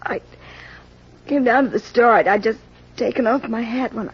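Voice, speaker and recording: An actress playing a dazed, distressed young woman in an old radio mystery, her voice weak and breathy. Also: a little high, moderate pace. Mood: shaken. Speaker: radio drama actor. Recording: muffled old radio recording.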